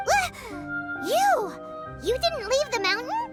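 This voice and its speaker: An excitable little fairy sidekick from a video game. The voice is extremely high, squeaky and childlike, swinging widely in pitch. Music plays prominently behind it. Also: female voice, slow, full character voice. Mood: startled. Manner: refers to herself in third person, exclaiming. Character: tiny childlike female fairy companion, excitable and indignant.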